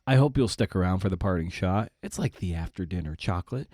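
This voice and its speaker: A man with a fairly low voice, recorded close and dry, introduces his podcast in a casual monologue. He is wry and self-deprecating, dropping in playful asides and repeating phrases for emphasis. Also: expressive, quick pace, darkish tone, no room sound. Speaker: podcast host.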